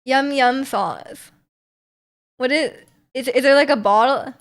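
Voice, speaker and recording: A woman's voice: high voice, takes it slowly. A young woman streamer in casual chat, rambling through viewer comments with lots of fillers and restarts. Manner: rambling, many fillers and restarts, frequent laughter.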